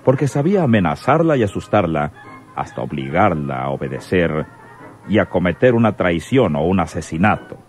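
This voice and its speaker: A man narrates a radio soap from a script. His voice is deep and swings expressively in pitch, with faint music underneath. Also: very animated, normal speed.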